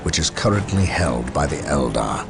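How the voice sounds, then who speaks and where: A man's voice: very deep voice, expressive, normal speed, vocal fry, full character voice. A man playing a stern, suspicious space marine commander in a game cutscene, speaking in a formal, declamatory way. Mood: urgent, commanding. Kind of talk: dramatic game cutscene acting. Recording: music behind the voice. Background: dramatic game music.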